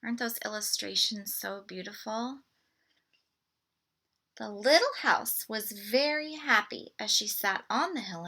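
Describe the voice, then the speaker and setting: Bright, husky woman reading a storybook slowly. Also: medium pitch. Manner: deliberate, expressive reading with asides to listeners. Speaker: storybook read-aloud presenter. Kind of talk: picture book read-aloud for children.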